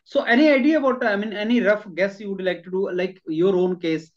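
High-voiced Indian man interviewing, muffled. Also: fairly quick. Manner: repeats and rephrases questions, mixes Hindi words into English. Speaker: interviewer, educator. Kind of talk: conversational interview. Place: classroom in front of a chalkboard. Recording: dull, muffled video-call audio.

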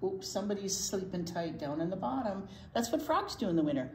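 A woman's voice: medium pitch, animated, brisk, a little mellow. An older woman reading a picture book aloud to young children, with conversational asides between the lines. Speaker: children's storytime co-host reader. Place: indoor library storytime room. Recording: roomy sound with some background noise.

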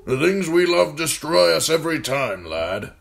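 Guy doing a grumpy old commander, gravelly and barky, voice swinging all over the place. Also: fairly high pitch, very animated, normal speed, a bit bright, voice pushed up, exaggerated voice. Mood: gruff. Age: older. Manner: blunt, needling, barking questions. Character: gruff old male military commander. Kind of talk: audiobook character voice.

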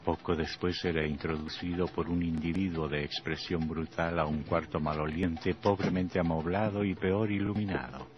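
A man's voice: low voice, fairly even intonation, normal speed, few pauses. A man narrating a scripted horror story as radio theatre. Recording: dull vintage radio recording. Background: music.

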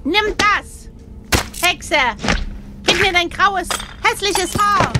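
A woman's voice: extremely high pitch, steady tone, very slow, heavy background noise. A woman chatting casually and cheekily as the player in an adventure gamebook. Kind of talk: casual playful chat.

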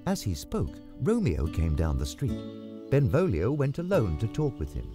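A man reading scripted storybook narration in a dramatic, deliberate manner. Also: lowish voice, huge pitch swings. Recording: music behind the voice. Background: plucked guitar music.

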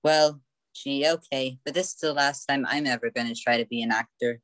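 A man's voice: medium pitch, fairly even intonation, moderate pace, fairly bright tone, no echo, close to an everyday voice. Man playing a slangy young boy, dry close sound. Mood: flustered, reluctant. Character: slangy, reluctant young boy roped into pretending. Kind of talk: amateur old-time radio play acting.

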